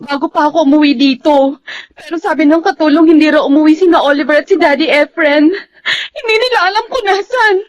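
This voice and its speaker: A woman's voice: high-pitched, a little fast, no echo, muffled recording, close to an everyday voice. A woman playing an anguished young mother, tearful and emotional, in a Filipino radio melodrama. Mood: anxious. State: crying. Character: anguished, distrustful young mother searching for her child.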